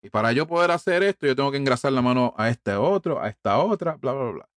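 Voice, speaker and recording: A man's voice: medium pitch, expressive, fast, dry recording, studio quality. A middle-aged man from Puerto Rico with a Puerto Rican Spanish accent, delivering a heated, profane political monologue full of rhetorical questions.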